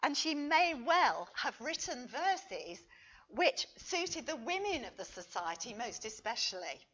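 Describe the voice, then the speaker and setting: A woman reading a scripted academic paper as a conference lecturer, in a measured, deliberate and expressive manner. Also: on the high side. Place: conference talk. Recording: dull, muffled lo-fi recording.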